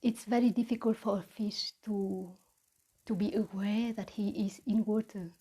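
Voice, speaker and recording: A woman with a Vietnamese accent giving a reflective mindfulness talk as a meditation teacher, with halting, deliberate phrasing. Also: mid-range voice, fairly even intonation, dark tone, husky.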